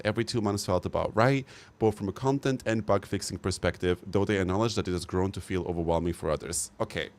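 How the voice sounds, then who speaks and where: A man streaming live, reacting bluntly to game news and giving opinionated takes. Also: sits low. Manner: swears casually. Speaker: livestreamer. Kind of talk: casual live-stream commentary.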